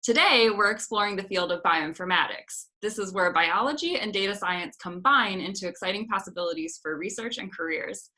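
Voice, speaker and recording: A young woman hosting an interview over a video call from a small office, opening with a clear scripted introduction and asking questions. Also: medium pitch, lively intonation, brisk, few pauses, pushed and bright. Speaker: host, genomic educator. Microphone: laptop webcam mic on video call.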